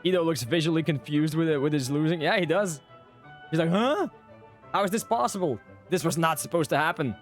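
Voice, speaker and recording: A man's voice: on the high side, fairly quick, faint music behind. A man giving casual, joking live commentary as a game streamer, often repeating his phrases.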